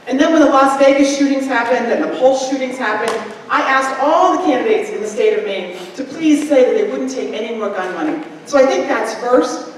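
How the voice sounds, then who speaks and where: A middle-aged woman answering as a candidate at a forum, emphatic and forceful, into a handheld mic. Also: high-pitched, a little hiss or hum. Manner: emphatic, gesturing, fast and forceful. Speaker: political candidate. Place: large school gym, indoors. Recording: echoey gym from far off, crowd murmur.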